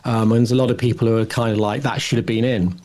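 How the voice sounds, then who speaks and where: Man, middle-aged, medium-pitched, casual podcast discussion, reflective, rambling delivery. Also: little pitch movement, fast, a little mellow. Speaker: podcast co-host.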